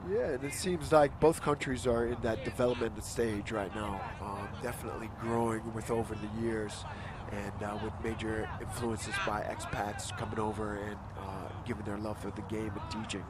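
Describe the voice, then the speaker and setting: A man adding relaxed color commentary to a rugby broadcast, his voice fairly low and easygoing. Loud outdoor noise and traffic run under him the whole time. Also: moderate pace. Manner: laid-back, agreeable, joking. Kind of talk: live sports commentary.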